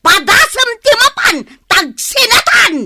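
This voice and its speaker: A woman with an Ilocano accent acting a bitter, unforgiving middle-aged mother in a radio drama, sharp and reproachful. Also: extremely high pitch, big pitch swings, unhurried, heavy background noise, heavily acted. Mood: tearful. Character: bitter, unforgiving mother and nagging village wife.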